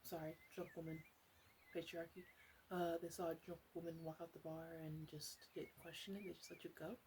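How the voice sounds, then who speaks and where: A woman playing a flirtatious, chatty witch of the woods in an ASMR roleplay, speaking in a soft, hesitant, playfully rambling way. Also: deep voice, flat delivery, a little fast, slight background noise. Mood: wry, chatty. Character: flirtatious, teasing forest witch, wry and chatty.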